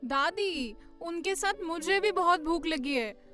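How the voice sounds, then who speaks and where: A woman voicing a cheerful young granddaughter who hosts her friends in a cartoon story, breezy and gently bossy. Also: very high-pitched, expressive, slow, soft background music, full character voice. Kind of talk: Hindi cartoon story voice acting.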